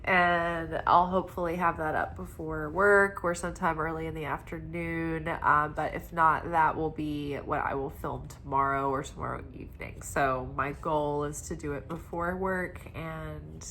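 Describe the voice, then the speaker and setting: A woman tarot reader talks slowly in a slightly low voice, stuffed up and congested from crying. Her manner is soft and meandering, drifting through spiritual talk. A faint hum of room noise sits behind her. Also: steady tone. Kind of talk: weekly tarot reading talk.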